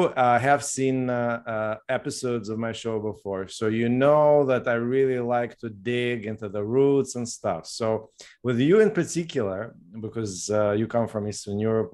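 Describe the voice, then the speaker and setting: Man, podcast host, friendly, hesitant, middle pitch. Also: a little mellow. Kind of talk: interview hosting.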